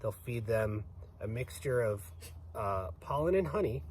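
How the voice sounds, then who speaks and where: A man with a medium-pitched voice explains things informally to children as a guest. His delivery is plain and orderly, going step by step. There is faint noise in the recording. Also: animated, unhurried, a little mellow.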